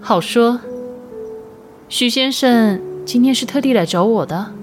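An actress playing the courteous, faintly sinister boss of a magic shop in a radio drama, measured and knowing. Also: female voice, fairly high pitch, faint music behind, natural voice. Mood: smooth, courteous.

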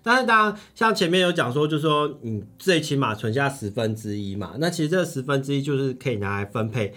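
A man's voice: mid-range voice, sing-song, quick pace, fairly bright tone. A man with a Taiwanese Mandarin accent talking through a book as a podcast co-host in a conversational style. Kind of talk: conversational book discussion about personal finance.

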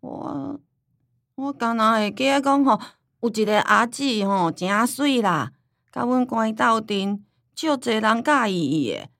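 Cranky forgetful old granny, highish voice, grumbly scolding, dry studio sound. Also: female voice, expressive, unhurried, vocal fry, fairly bright tone, dry recording, heavily acted. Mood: reminiscing. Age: older. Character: teasing old grandmother with fading memory. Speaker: voice actor. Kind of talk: radio drama acting.

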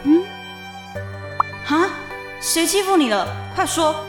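A woman's voice: extremely high pitch, animated, slow pace, heavily acted. A young woman playing a teasing, caring teenage schoolgirl in an audio drama, speaking Taiwanese-accented Mandarin. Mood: concerned, soothing. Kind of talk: amateur audio drama acting. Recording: roomy echo under music. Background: music.

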